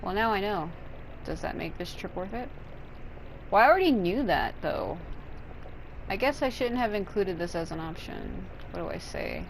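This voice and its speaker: A woman's voice: mid-range voice, huge pitch swings, moderate pace, creaky, slight background noise, rough recording. A woman giving casual commentary as she plays a video game, with a blunt, sarcastic way of talking. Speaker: gamer doing a let's play. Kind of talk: casual game commentary, reading choices aloud. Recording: game music behind. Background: video game music.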